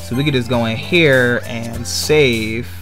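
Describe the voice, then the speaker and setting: A man's voice: mid-range voice, steady tone, takes it slowly, fairly bright tone, faint music behind. A man chatting casually as he livestreams a game, rambling and often repeating his phrases. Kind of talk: casual gaming stream chat.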